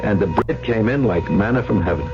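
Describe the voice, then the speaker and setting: A male actor as a wisecracking down-on-his-luck gambler, swinging widely in pitch through slangy radio narration and dialogue. The old recording is muffled, with music behind him. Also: animated, brisk, close to an everyday voice. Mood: wry, cynical. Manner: wisecracking, slangy, hard-boiled. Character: cynical, money-hungry down-on-his-luck gambler poet, narrating his story.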